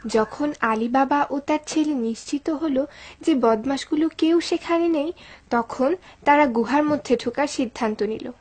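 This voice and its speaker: A woman's voice: high-pitched, unhurried, a little mellow. A woman giving scripted storytelling narration for a cartoon.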